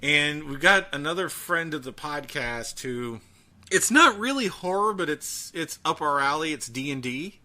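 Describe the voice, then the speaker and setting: A man co-hosting a casual podcast, talking in a chatty, halting way. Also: fairly high pitch, expressive, pushed and bright. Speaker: podcast co-host.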